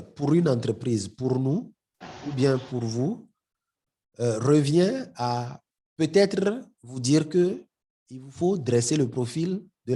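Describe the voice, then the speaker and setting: A man's voice: medium pitch, mellow, husky. Man with a head cold teaching a webinar. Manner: hesitant, repeats words often; addresses the audience directly. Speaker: webinar trainer. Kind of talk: live marketing webinar.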